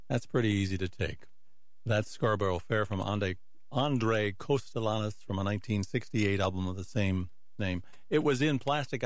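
A man's voice: lowish voice, no echo. A man hosting a radio music show in a casual, rambling way, musing aloud between records. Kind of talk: casual disc jockey talk between records.

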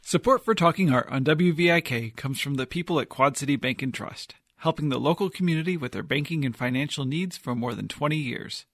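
Male radio announcer, higher pitch, dry studio read. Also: a little fast, few pauses, a bit bright, no room sound.

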